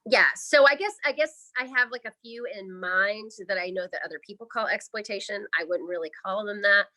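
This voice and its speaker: A woman's voice: mid-range voice, brisk, sharp tone. A woman from the American Midwest chatting casually and candidly as an interview guest, often saying "like". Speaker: interview guest, podcaster and writer.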